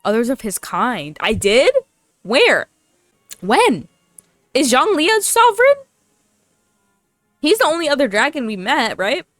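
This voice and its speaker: A woman's voice: sits high, wildly swooping pitch, a little slow, pauses often, fairly bright tone, no room sound, clean studio sound. A woman casually reacting to a game as a streamer, playful, gushing and teasing. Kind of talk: casual gameplay reaction chat. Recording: background game music.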